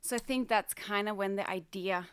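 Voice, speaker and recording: A woman's voice: medium pitch, normal speed, smooth. A middle-aged woman from Denmark talking candidly and reflectively as a guest in a casual interview. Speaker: interview guest, fashion designer.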